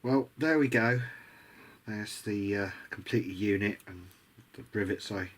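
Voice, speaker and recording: A man's voice: on the low side, a little slow. A man giving a casual workbench commentary as a hobbyist repairer, musing and hesitant as he thinks aloud. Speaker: hobbyist radio repairer presenting a restoration.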